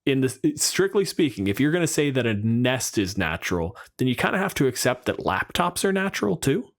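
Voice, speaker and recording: A man's voice: mid-range voice, very animated, brisk. A man with a mid-range voice co-hosts a casual podcast. He talks conversationally and argues his case, qualifying points as he goes. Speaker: podcast co-host. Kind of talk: casual chat about tabletop game monsters.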